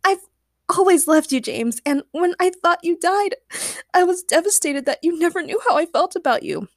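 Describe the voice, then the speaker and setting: A woman's voice: very high-pitched, lively intonation, moderate pace, dry recording, clean studio sound, voice pushed up. A woman voicing a melodramatic young woman in a story, easily terrified and lovestruck, who shrieks, wails and gushes. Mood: gushing, emotional. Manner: shrieking, wailing, gushing.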